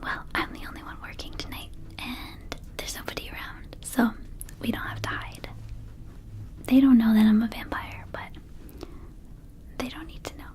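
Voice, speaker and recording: A woman playing a friendly, mischievous young vampire herb-shop keeper in a soft, soothing ASMR roleplay whisper. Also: fairly high pitch, moderate pace, long pauses, close to an everyday voice. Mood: coaxing. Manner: soothing, coaxing, hesitant asides.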